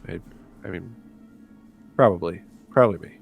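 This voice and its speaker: Deep-voiced man chatting over soft music. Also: moderate pace, creaky, narrow, tinny audio. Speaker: player in a tabletop RPG podcast. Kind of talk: casual chat. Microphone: remote video call.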